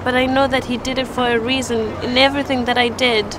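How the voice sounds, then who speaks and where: A young woman from Zimbabwe tells her faith story in a slightly high, level voice that hardly rises or falls. She speaks earnestly and evenly, with small restarts, while street and traffic noise runs clearly behind her outdoors. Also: flat delivery, fast. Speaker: church testimony speaker. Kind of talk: personal faith testimony.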